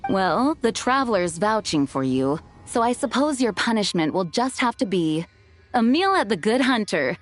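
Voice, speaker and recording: A woman playing a composed, kindly but firm knight commander in a video game, speaking in a measured, formal way. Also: mid-range voice, big pitch swings, faint music behind, lightly acted. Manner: measured, formal, gently authoritative.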